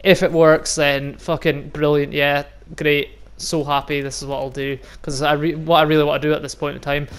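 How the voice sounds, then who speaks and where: A young Scottish man from the Highlands in his twenties, talking casually to his viewers. He rambles, swears freely and laughs often. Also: flat delivery, normal speed, few pauses, clean tone. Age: 20s. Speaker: vlogger and youth football coach. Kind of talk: casual monologue to camera.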